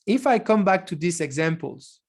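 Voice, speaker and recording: A man's voice: high-pitched, expressive, moderate pace. Man giving an online lecture. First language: French. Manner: explanatory, with frequent restarts.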